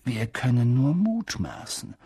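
Brief: audiobook reader as a measured old headmaster, soft and unhurried, dry sound. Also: very animated, gravelly, breathy edge, dry recording, full character voice. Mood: grave. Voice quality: soft, low-key and measured. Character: calm elderly male headmaster, quiet and grave. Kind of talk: audiobook character reading.

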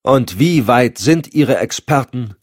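A man's voice: lowish voice, animated, moderate pace, no room sound, studio quality, pitched above the performer's own voice. A man voicing an indignant security official in an audiobook, blustering and sneering. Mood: scornful. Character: indignant, scornful male homeland security official. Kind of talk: audiobook dialogue.

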